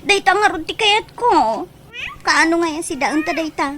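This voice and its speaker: Woman acting a whiny, high-voiced pregnant wife. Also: animated, normal speed, fairly bright tone, soft background music. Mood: sulky. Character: whiny, lazy pregnant wife with demanding cravings. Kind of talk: comedic radio drama acting.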